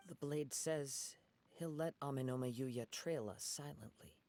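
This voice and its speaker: An actor playing a calm, noble young wandering samurai in a video game, speaking in a measured, earnest and formal way. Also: male voice, mid-range voice. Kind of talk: scripted game voice acting. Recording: game music behind the voice.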